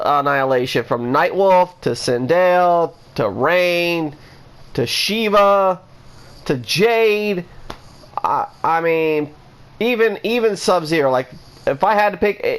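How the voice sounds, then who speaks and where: A man with a somewhat high voice talks casually for his own channel. He rambles and restarts his sentences, leaning on fillers as he thinks out loud. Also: clear, resonant tone. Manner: rambling, many restarts and "you know" fillers. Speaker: gaming vlogger. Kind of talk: casual rambling opinion talk.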